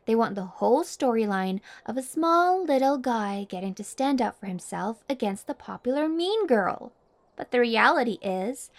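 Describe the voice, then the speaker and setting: A woman playing a mean, smug, obsessive popular high school girl in an intimate ASMR roleplay, taunting and boastful. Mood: teasing, then condescending. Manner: taunting, boastful, condescending, drawn-out teasing. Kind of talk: intimate ASMR roleplay monologue addressed to listener.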